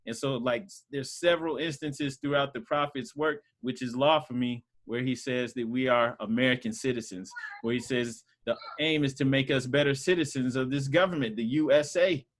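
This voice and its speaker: A man's voice: medium pitch, steady tone, normal speed, few pauses. A man casually teaching a Sunday school group over a video call, talking loosely with lots of fillers. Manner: loose and conversational, many fillers.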